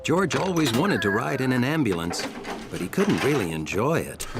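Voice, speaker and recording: A man playing a wry, gentle storyteller, narrating a children's cartoon in a measured way. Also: mid-range voice, big pitch swings, vocal fry, loud music behind the voice.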